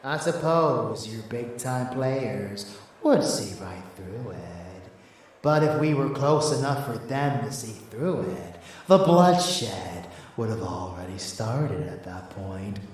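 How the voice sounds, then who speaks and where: A man playing a rebellious, possessive and seductive vampire lover in an ASMR audio roleplay, teasing and bloodthirsty in a drawn-out, intimate delivery. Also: on the low side, expressive. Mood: sly, smug. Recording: echoey, distant, faint background noise.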